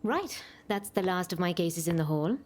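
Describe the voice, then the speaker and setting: A woman's voice: on the low side, expressive, normal speed, slight background noise, close to an everyday voice. A woman playing a breezy, self-assured, gushing visiting aunt in a radio soap.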